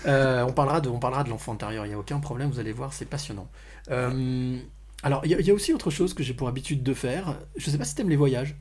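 A man's voice: mid-range voice, quick pace, darkish tone. A man hosting a conversational podcast interview, often repeating and rephrasing as he goes. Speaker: podcast host.

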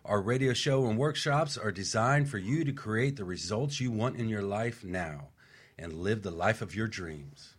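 A man's voice: on the low side, slightly rough. A man hosting a radio show from a studio, enthusiastic and announcer-like.

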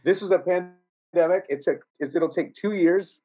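A man's voice: sits high, moderate pace, warm tone, slightly rough. A man talking as a musician guest in a casual podcast chat, rambling and profane, with frequent laughs.

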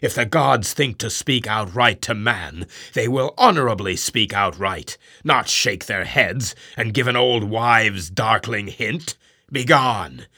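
A man's voice: animated, slow pace, no room sound, clean studio sound, full character voice. Ahab, a man voicing a grim old sea captain. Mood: defiant. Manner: stern, booming commands then hushed brooding.

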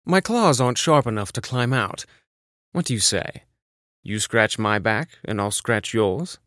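A friendly storybook cat played by a man, playful and wheedling, lifting into a higher register at times. The delivery coaxes gently, recorded close and dry. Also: lively intonation, a little slow, dry recording. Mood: coaxing. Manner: coaxing, playful. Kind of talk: character voice demo.

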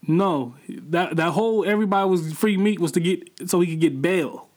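A young man chatting casually as a podcast co-host, excitable and rambling, quick to get loud and laugh. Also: high-pitched. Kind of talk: casual chat.

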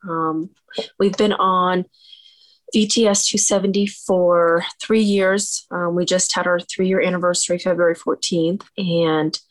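A woman introduces herself and her son plainly and slowly. Her voice is somewhat low and flat, and the sound is dry. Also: no room sound, poor audio. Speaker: parent panelist.

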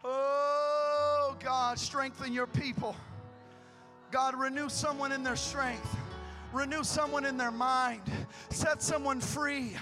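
A man preaching a sermon in a church, moving from quiet teaching to shouted, fervent prayer. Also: very high-pitched, flat delivery, slow pace, soft background music. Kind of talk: sermon with altar call prayer. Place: church sanctuary.

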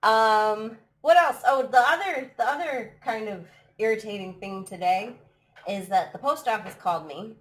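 Brief: woman, live stream host, throaty from allergies, chatty, laughs a lot, mid-pitched voice. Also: moderate pace. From United States. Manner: chatty, laughs often, many restarts. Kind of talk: casual chat. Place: at home abroad, live stream.

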